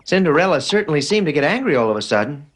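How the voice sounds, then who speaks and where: Lowish, lively little male critter. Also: very fast, slight background noise. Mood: puzzled, sorry. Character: small male animal friend, naive and loyal. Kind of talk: animated fairy-tale dub acting.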